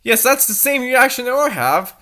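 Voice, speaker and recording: Female comedic reader: bright, very animated, sarcastic, breaking from narration into amused asides. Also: fairly high pitch, moderate pace. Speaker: reader and commentator. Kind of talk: mocking comedic story reading.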